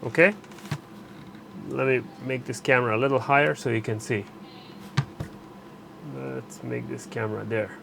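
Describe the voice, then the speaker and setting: A man narrating a hands-on repair tutorial while he works, pausing and correcting himself as he goes. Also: mid-range voice, steady tone, gravelly, background noise. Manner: unscripted, pauses and self-corrects while working. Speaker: electronics repair instructor.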